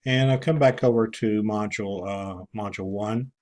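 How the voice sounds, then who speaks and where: A man giving an informal, halting walkthrough as an online course instructor, pausing often as he explains things to students. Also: on the low side, a little mellow. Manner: halting, with many pauses and throat clearing.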